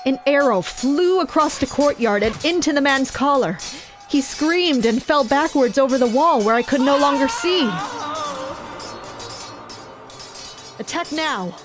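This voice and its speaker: A woman's voice: high voice, lively intonation, moderate pace. A woman playing a tense, commanding castle fighter in a first-person dramatic narration. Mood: urgent. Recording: music behind the voice. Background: music.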